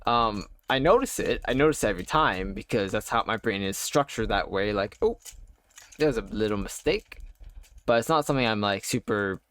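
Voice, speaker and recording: A young adult man telling a personal story while playing a game, halting and wry, full of fillers and restarts. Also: on the low side, expressive, fairly bright tone. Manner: wry, self-deprecating. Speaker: gaming YouTuber. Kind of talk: casual storytelling over gameplay.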